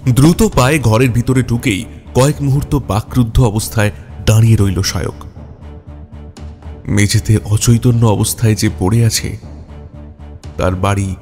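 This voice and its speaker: A man narrating a scripted detective story in a measured way, with dramatic pauses. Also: on the low side, darkish tone. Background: background music.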